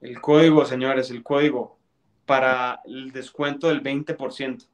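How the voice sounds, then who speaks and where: A man's voice: mid-range voice, flat delivery. Man co-hosting on a video call. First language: Spanish. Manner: halting, repeats words. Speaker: webinar co-host. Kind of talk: casual live-stream chat.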